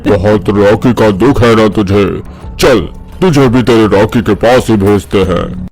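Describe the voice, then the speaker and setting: A man's voice: lowish voice, measured pace, darkish tone, soft background music. A man voicing a cruel male palace ghost, menacing and mocking, in a cartoon horror story.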